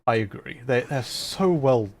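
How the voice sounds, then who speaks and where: A man's voice: mid-range voice, expressive, a little slow. A man giving casual, playful livestream gameplay commentary, self-deprecating and thinking aloud in repeated little phrases. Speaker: livestreamer playing a puzzle game.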